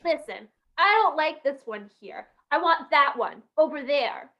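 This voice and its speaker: A woman's voice: high-pitched, expressive, unhurried, lo-fi sound. A woman playing a bratty, defiant, demanding young boy in an old-time radio comedy, insolent and boastful. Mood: stubborn, demanding.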